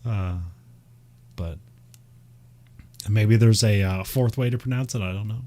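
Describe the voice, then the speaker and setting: A man's voice: on the low side, a little slow, dark tone. A man with a Midwestern American accent, chatting casually and rambling, with frequent laughs and fillers. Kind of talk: casual chat about racing.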